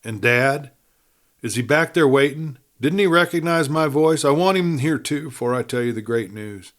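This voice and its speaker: A man's voice: medium pitch, moderate pace, a bit bright, voice pushed up, lightly acted. A man voicing a tender, anxious young woman who pleads in a western story. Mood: anxious, pleading. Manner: crying out and pleading.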